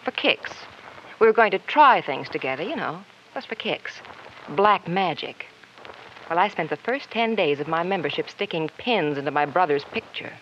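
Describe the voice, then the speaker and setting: A woman's voice: on the low side, animated, normal speed, fairly bright tone. An actress playing a cold, candid young woman in an old radio crime drama, blunt and unsentimental. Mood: cool, defiant. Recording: muffled, noisy old radio recording.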